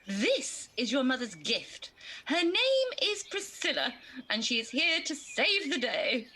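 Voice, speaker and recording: A batty pantomime good fairy, very high and piercingly bright. She announces things grandly and theatrically at a leisurely pace. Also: very animated, measured pace, full character voice. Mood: grand, breezy. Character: batty well-spoken good fairy, sneezing, eccentric and kindly. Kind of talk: pantomime radio acting.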